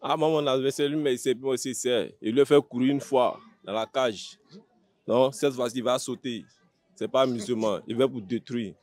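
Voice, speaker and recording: A man's voice: medium pitch, moderate pace, a little mellow, dry recording. A man with an Ivorian accent, a fighter answering interview questions in a blunt, plain-spoken way with short, repeated phrases. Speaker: MMA fighter being interviewed.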